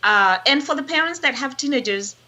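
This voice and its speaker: Bright-voiced woman giving advice. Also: high voice, animated, fairly quick, dull audio. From Kenya. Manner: earnest and advising, with frequent restarts. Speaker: mental health professional. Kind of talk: expert guest on a casual talk show.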